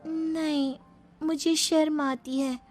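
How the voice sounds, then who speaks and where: Woman acting a coy young cartoon bride, high-pitched. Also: flat delivery, slow, a little mellow, faint music behind. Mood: bashful.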